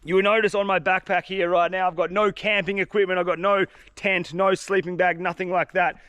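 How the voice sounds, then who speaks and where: A man's voice: sits high, level tone, brisk, a bit bright, clean tone, no echo. A young man with a congested, blocked-up nose, chatting casually to camera as he hikes through a humid forest. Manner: laid-back, chatty, slangy. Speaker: fishing vlogger. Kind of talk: casual outdoor vlog to camera. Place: dense humid forest, outdoors.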